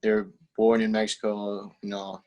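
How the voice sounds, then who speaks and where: A teenage man whose first language is Spanish talks casually as an interview guest. His voice sits fairly low, and his answers come out relaxed and halting, with lots of "um" and restarts. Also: muffled recording. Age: teens. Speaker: guest, young footballer.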